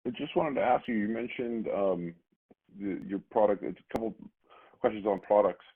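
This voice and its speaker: Male analyst caller, husky low voice, casual question-asking style, lo-fi telephone sound. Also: moderate pace. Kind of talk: conversational earnings call questions. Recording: muffled radio-like phone line.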